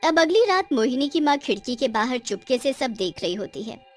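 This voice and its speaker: A woman's voice: high voice, big pitch swings, moderate pace, faint music behind. A woman reading dramatic scripted narration for a cartoon horror story.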